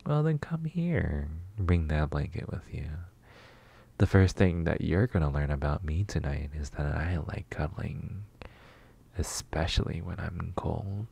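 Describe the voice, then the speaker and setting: Man playing a husky, slow-talking flirty neighbor. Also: low-pitched, expressive, a little mellow, full character voice. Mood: flirty, teasing. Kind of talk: intimate roleplay ASMR.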